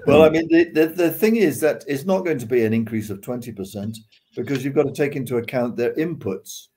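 An older British man discusses the news casually over a video call. His voice is mid-pitched and mellow. He sounds reflective, often hesitating as he thinks aloud. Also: lively intonation, fairly quick. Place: living room at home. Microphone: video call, seated back from the camera.

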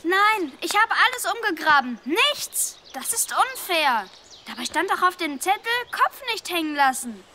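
Eager child hero for kids' audio play: very high, earnest exclamations, noisy background. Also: female voice, sing-song, a little slow, pushed and bright, exaggerated voice. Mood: eager, frustrated. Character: brave, eager young child adventurer. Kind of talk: children's audio drama acting.

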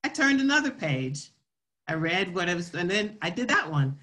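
A woman with a low voice and an American accent tells her own story in an informal talk. She is reflective, building her sentences in short, halting bursts as she goes. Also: very animated, pushed and bright. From Florida, southern United States. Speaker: scientist and research leader giving a talk.

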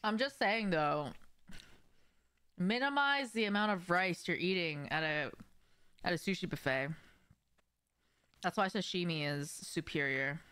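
A young woman talks casually to her livestream viewers while she plays a game. Her voice sits a little low and sounds clean and smooth. She is conversational, addressing people directly from an indoor room. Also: animated, measured pace, long pauses, sharp tone. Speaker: live streamer.

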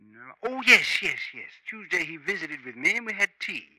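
An actor playing a genteel, pompous professor with a secret, formal and precise, in a 1950s radio drama. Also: male voice, a little high, very animated, moderate pace, sharp tone, full character voice. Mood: guarded, pompous. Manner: formal, precise, hesitant in places. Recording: old 1950s radio recording.

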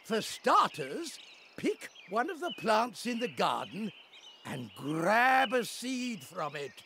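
A man's voice: sits high, big pitch swings, slow pace, background noise, heavily acted. A man voicing a jolly elderly grandfather pig in a children's game, patiently explaining things with animation. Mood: cheerful, encouraging. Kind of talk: children's game character dialogue.

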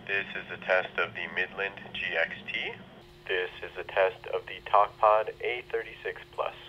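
A band-limited walkie-talkie transmission carries a man's voice. He speaks on the low side, reading a test phrase plainly. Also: lo-fi sound, slight background noise. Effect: walkie-talkie radio transmission.

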